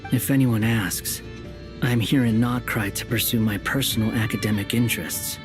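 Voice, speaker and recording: A man's voice: lowish voice, fairly even intonation, normal speed, natural voice. Aloof young wanderer, voiced by a man, music behind. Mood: aloof, dry. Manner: cool, dry, curt. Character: aloof, sardonic young male wanderer posing as scholar. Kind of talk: video game voice acting.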